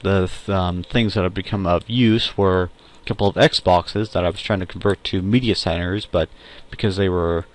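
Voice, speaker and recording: Young adult male, low register, hesitant amateur audio-log host; casual, unscripted, stop-and-start delivery. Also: steady tone. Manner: hesitant, halting, self-correcting, with frequent pauses. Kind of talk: casual unscripted tech and personal monologue.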